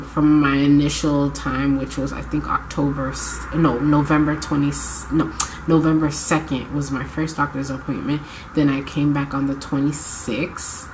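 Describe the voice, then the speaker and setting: A woman's voice: low voice, noisy background. A young woman vlogging casually to camera, congested from a cold, chatty and rambling as she corrects herself. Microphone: camera mic.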